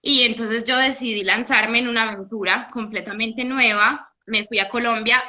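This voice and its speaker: A young woman from Italy, a guest in a casual interview, telling her story in an animated way with many restarts. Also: on the high side, moderate pace. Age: young adult.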